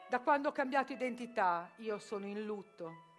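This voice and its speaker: A woman's voice: fairly high pitch, expressive, moderate pace, faint music behind, close to an everyday voice. An actress playing an older Albanian sworn virgin who lives as a man, telling her story in a proud, matter-of-fact theatre monologue. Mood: stern. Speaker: actress performing a theatrical reportage.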